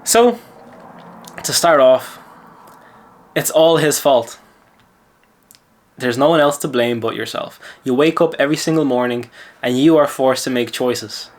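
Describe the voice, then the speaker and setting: A man's voice: medium pitch, a little slow, long pauses. A man from Lithuania with an Irish accent, a mindset coach telling a gym story in casual talk and then giving self-assured advice. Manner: chatty, self-assured, lecturing.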